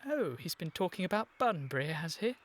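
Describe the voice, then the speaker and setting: A woman playing an indignant, stubborn Victorian gentleman in a radio period comedy, with a stiff, clipped, formal manner. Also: lowish voice, sing-song, fairly quick, slight background noise. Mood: exasperated.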